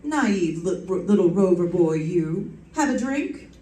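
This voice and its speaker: A woman's voice: on the low side, big pitch swings, a little slow, mellow, slight background noise. Echoey, sassy radio detective dame played by a woman. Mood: sardonic. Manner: wisecracking, arch, theatrical delivery. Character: sassy, flirtatious woman private detective narrating her own case. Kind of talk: old-time radio detective drama acting. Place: live stage performance in an echoey room. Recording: echoey room, distant mic, music behind.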